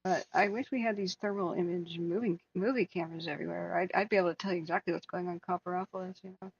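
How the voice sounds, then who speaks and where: Hoarse woman hosting a livestream. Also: on the low side, steady tone, fast, highs cut off. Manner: earnest, talks to chat viewers by name. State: hoarse, losing her voice. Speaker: wildfire tracking livestream host. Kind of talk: casual livestream chat.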